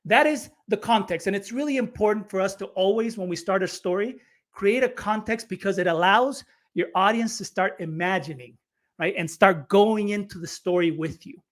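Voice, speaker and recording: A man from Chile with a high voice coaches on storytelling during a podcast interview. He explains eagerly, doubling up words as he gets going. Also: normal speed. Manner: energetic, explanatory, repeats words when starting phrases.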